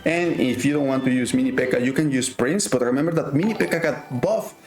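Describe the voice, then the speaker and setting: A man's voice: medium pitch, quick pace, a little mellow, reverberant. A man from Mexico with a Mexican Spanish accent, casually commentating his own gameplay and thinking aloud with frequent fillers. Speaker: gaming YouTuber.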